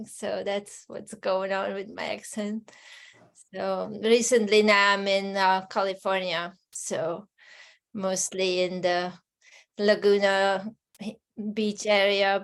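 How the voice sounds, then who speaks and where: Hungarian-accented woman, podcast guest, soft and halting, middle pitch. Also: clear, resonant tone. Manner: soft-spoken, hesitant with many restarts. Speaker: interview guest. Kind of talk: casual conversation.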